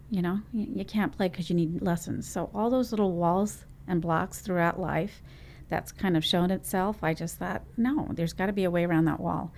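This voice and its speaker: Woman, podcast guest, business owner, rambling storyteller, lower voice. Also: a little mellow. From Trinidad and Tobago. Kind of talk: conversational interview.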